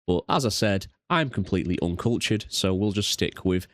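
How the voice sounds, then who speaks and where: A man with a British accent and a low-pitched voice guides viewers through a software setup. He is casual and self-deprecating, explaining as he goes. The sound is dry, with no room reflection. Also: lively intonation, moderate pace, dry recording. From United Kingdom. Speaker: tutorial host. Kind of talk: casual software walkthrough narration.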